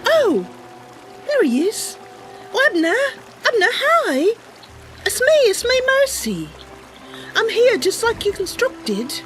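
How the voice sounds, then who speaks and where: A naive young wood elf girl, played by a woman, speaks in a high, girlish lilt over music. She is earnest and excitable, a wide-eyed fantasy game adventurer with a very lively melody to her speech. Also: takes it slowly, long pauses, full character voice. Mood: excited, delighted.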